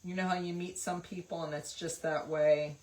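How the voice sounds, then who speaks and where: A woman's voice: on the low side, level tone, normal speed, a little mellow. A woman hosting a craft livestream, chatting casually and rambling with lots of fillers while she paints. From West Virginia, USA.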